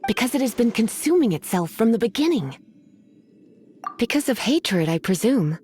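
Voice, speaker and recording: A woman voicing a poised, languid young sorceress with a teasing manner in an animated video game. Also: on the high side, normal speed, noisy background. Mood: grave, explaining.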